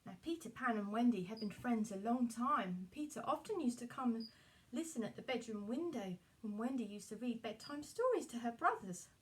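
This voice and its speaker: A woman's voice: on the high side, animated, normal speed, distant mic, rough recording. A woman telling a story to young children in a puppet show, addressing them directly with exaggerated expression.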